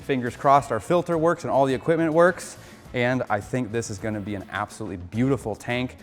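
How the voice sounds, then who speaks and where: A man's voice: medium pitch, fairly quick, big-room sound, soft background music. Upbeat American man coaching an aquascape build. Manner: upbeat, coaching, explains step by step. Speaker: aquarium hobbyist host. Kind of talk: casual instructional vlog.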